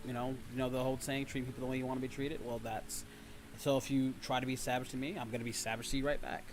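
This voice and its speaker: A man's voice: medium pitch, flat delivery, fast, music in the background. A man hosting a livestream in casual banter, talking in a blunt, teasing, cocky manner. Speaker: livestream host and voice actor.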